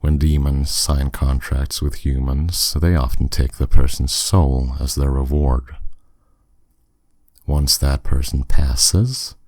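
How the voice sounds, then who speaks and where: A man playing a powerful, courteous demon captor in an ASMR roleplay, speaking with smooth, teasing, condescending authority. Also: a bass voice, steady tone, a little mellow, no echo, clean studio sound, full character voice. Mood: calm, matter-of-fact. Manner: smooth, condescending, unhurried, with endearments.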